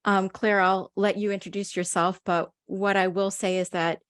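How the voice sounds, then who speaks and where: A woman's voice: mid-range voice, little pitch movement, moderate pace, no room sound, clean studio sound. A woman hosting a podcast, speaking earnestly and effusively, lingering on words of praise as she introduces her guest. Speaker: podcast host. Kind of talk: heartfelt interview introduction.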